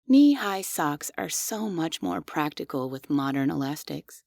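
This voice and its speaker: A woman as a slow, doting robot maid. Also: low-pitched, big pitch swings, gravelly, dry recording, studio quality, exaggerated voice. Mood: gentle, doting. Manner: soft, formal and servile, with quietly unsettling questions. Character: devoted obsessive female android maid, polite but creepy. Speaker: voice actress. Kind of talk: ASMR audio roleplay.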